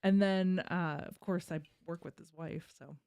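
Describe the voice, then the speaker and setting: A woman's voice: lowish voice, steady tone, a little slow, gravelly, a little mellow, smooth. A woman chatting casually as a panelist on a podcast. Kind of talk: casual chat.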